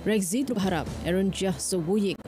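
A young woman presenting sports news in a TV studio, reading a script in a steady, formal way. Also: mid-range voice, moderate pace. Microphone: studio mic. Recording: news music bed underneath.

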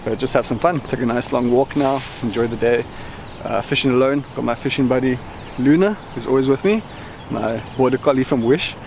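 A man's voice: medium pitch, fairly quick, creaky. A young man chatting casually to camera while fishing on an open beach. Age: young adult. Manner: relaxed, chatty, walking the beach while talking. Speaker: fishing vlogger. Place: open sandy beach by the surf, outdoors. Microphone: camera mic from a nearby handheld camera. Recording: loud surf and wind noise outdoors. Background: loud crashing waves and surf.

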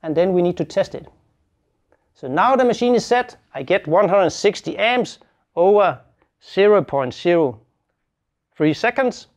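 A man's voice: high voice, expressive, measured pace, pauses often. A man in his fifties giving a plain, step-by-step instructional presentation as a technical specialist, recorded on a lapel mic. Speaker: welding specialist presenting a product tutorial.

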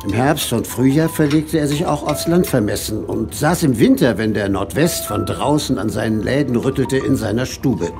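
An actor playing a thoughtful village schoolmaster who tells an old tale in measured, literary phrases for an audio drama. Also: male voice, medium pitch, gravelly. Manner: measured, literary storytelling with emphatic swells. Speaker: storyteller. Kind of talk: German audio drama narration.

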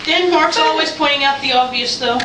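A woman with a very high voice chats and giggles away from the camera. The room sounds echoey, and household noise is clearly heard behind her. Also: a little fast. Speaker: friend visiting. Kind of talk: casual chat. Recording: distant echoey noisy room.

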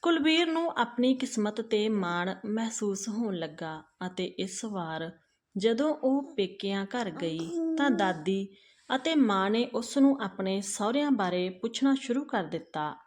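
A woman reads a moral family story aloud in a measured, earnest way. Her voice sits at an ordinary middle pitch. She ends by addressing her listeners directly. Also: animated.

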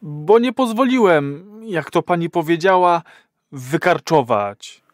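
A man's voice: on the high side, lively intonation, slow pace, dry recording, studio quality. An actor playing a nervous, awkward first-time male patient in an audio drama, hesitant and sheepish. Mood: embarrassed, hesitant.

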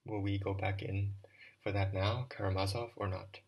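A man's voice: on the low side, little pitch movement, moderate pace, breathy edge, poor audio. A man reading a proud, irritable teenage boy. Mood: hushed. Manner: hushed, clipped, irritable.

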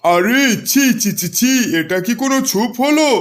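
Man as a high, irritable cartoon kite bird. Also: lively intonation, moderate pace, faint music behind. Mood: furious. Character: gruff, easily angered male kite bird customer. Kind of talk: children's cartoon voice acting.

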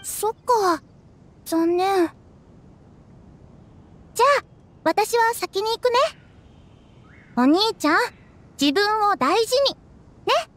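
A woman playing a gentle, caring young village girl, a younger sister, softly spoken in an anime game. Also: very high voice, lively intonation, takes it slowly, pauses often, exaggerated voice. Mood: gentle concern.

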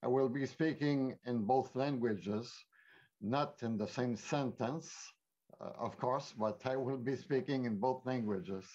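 An older man with a Quebec French accent lectures in a measured, explanatory way, pausing often on fillers. His voice sits at an ordinary pitch. The sound is muffled and dull, as from a headset on a video call. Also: a little mellow. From Quebec City, Canada. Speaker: panelist, former minister. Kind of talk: formal bilingual lecture. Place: home office.

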